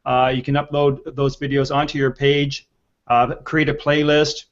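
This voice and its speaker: A man's voice: mid-range voice, level tone, measured pace, creaky. A man in his sixties hosting a conversational panel discussion over a video call. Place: indoor room on a video call. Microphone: video call with earpiece. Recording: dull lo-fi video call audio.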